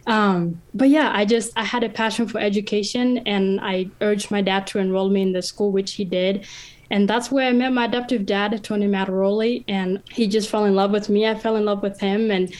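A woman's voice: medium pitch, fairly even intonation, a little fast, a little mellow. A young woman around twenty, from northern Tanzania, telling her own story in an earnest, open way with a few fillers and restarts. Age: about 20. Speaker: interviewee, university student. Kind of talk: casual personal account.

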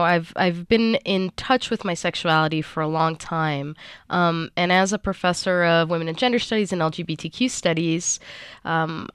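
A woman from Miami, a native Portuguese speaker, talks as an academic guest in an interview. Her voice sits low and sounds clean and smooth. She answers conversationally, in a dry recording with little room sound. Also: a bit bright, no echo. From Miami, United States.

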